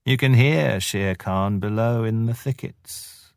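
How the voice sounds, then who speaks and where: A man voicing a mean, mischievous male jackal who wheedles and flatters in a drawn-out, sing-song way. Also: on the low side, creaky, dry recording, clean studio sound, voice pushed up. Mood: sly.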